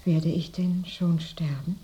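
Dying woman, slow feverish whisper. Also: lowish voice, fairly even intonation, mellow. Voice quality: breathy whisper. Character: dying woman, feverish, sensual, lost in memories. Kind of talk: radio play acting. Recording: noisy binaural radio drama recording.

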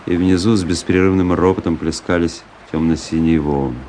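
Radio drama narrator: reflective officer played by a man, deep and dark-toned, measured literary delivery. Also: fairly even intonation, a little hiss or hum, lightly acted. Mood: pensive, uneasy. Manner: pensive, measured storytelling. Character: reflective army officer recounting his travels.

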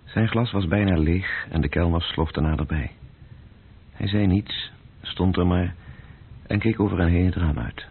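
A man narrating a literary radio play in a measured, detached, sombre manner. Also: low-pitched, husky, heavily acted. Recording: thin, phone-like old radio recording.

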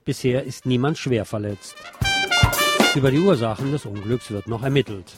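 A man's voice: mid-range voice, moderate pace, over loud music. A man presenting radio news from a script, with the occasional wry aside.

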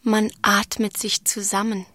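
A woman playing a brisk inner-voice narrator giving terse, pointed comments in a radio drama chorus. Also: medium pitch, flat delivery, bright, forceful tone. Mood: observant.

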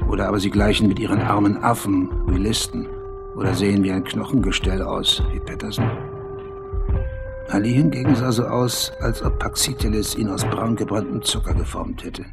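Low-pitched, measured literary narrator played by an actor. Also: male voice, fairly even intonation, loud music behind the voice, close to an everyday voice. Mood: admiring, measured. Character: male essayist narrator, eloquent, admiring sports observer. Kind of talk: literary scripted narration over music.

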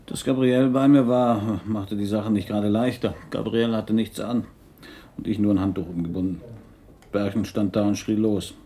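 An actor playing a wry, nonchalant man recounting things in an ironic, unhurried way in a radio crime drama. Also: lowish voice, steady tone, mellow, natural voice. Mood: reflective, nonchalant. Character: wry, nonchalant man, a slightly wounded shooting victim.

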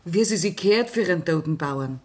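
An actress with a Bavarian accent playing a composed, grieving farmer's widow in a radio play. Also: female voice, lowish voice, animated, normal speed, a little mellow. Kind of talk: 1960s radio play acting.

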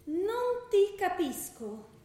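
A woman's voice: very high-pitched, big pitch swings, very slow, mellow, heavily acted. An actress playing a worried young girlfriend, pleading and insistent, in radio theatre dialogue. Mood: pleading, exasperated. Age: young adult. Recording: very echoey theatre room.

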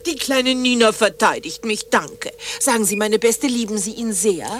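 An actress playing a bitter, sarcastic middle-aged ex-wife in a German radio play, theatrical and cutting. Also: female voice, on the high side, moderate pace, slight background noise, natural voice. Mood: bitterly mocking. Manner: sarcastic, mocking, dramatic.

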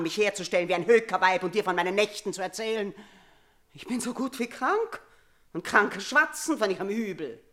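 A woman's voice: fairly high pitch, a bit bright. A woman playing a middle-aged queen and mother, haunted and domineering, in a theatrical classical German radio drama, shifting between murmurs and outcries. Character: haunted, imperious queen and mother, uneasy with guilt.

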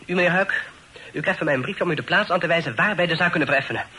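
An actor playing a haughty, hot-tempered young gentleman with a formal, clipped manner in a Dutch radio play. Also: fairly high pitch, very fast. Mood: furious, threatening. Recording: noisy old radio play recording.

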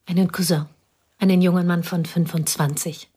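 A woman playing a reserved schoolteacher, the dead wife's confidante, in a radio play, speaking in a calm, measured way. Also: on the low side, husky. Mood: restrained. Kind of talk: radio drama acting.

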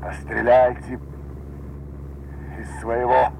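Halting, very slow wounded bomber pilot. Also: male voice, mid-range voice, level tone, vocal fry, darkish tone, a little hiss or hum, poor audio. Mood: strained resolve. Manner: halting, terse, laboured delivery. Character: wounded, stoic male bomber pilot and commander. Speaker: actor in an audio drama. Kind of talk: Soviet radio play acting.

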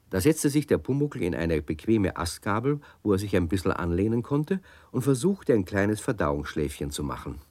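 Deep-voiced man narrating a children's story. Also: no room sound. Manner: warm, cozy storyteller, playful emphasis.

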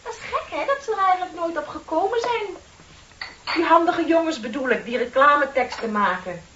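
A woman's voice: high voice, expressive, a little fast, a bit bright. Chatty younger office lady, musing and ironic, radio drama, dull old hissy tape. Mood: playful, mocking. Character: chatty, dreamy younger female office clerk. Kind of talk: Dutch radio play acting.

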